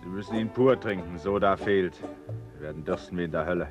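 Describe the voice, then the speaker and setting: Radio play: man as a businesslike, deep-voiced visitor, quick and curt, music behind. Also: muffled recording, natural voice. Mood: brisk, dutiful. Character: brisk, dutiful male visitor to a sick man. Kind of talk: German radio play acting.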